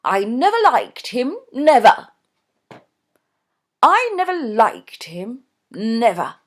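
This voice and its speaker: A woman's voice: sing-song, slow pace, heavily acted. Woman doing a fussy, gushing plump mother. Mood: emphatic. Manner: insistent, fussy, high-pitched exclamations. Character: gushing, emphatic middle-aged mother, plump.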